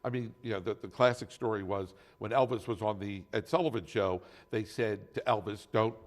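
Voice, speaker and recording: A man's voice: lowish voice, fairly quick. A man hosting an interview, asking questions in a conversational way with many fillers. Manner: conversational, lots of filler and affirmations. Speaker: talk show host.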